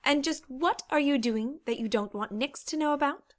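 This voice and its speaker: Woman acting high-pitched, genteel lady pony. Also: normal speed, lightly acted. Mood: concerned, inquisitive. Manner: theatrical, genteel. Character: refined, fussy, inquisitive lady pony. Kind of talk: acted audio story dialogue.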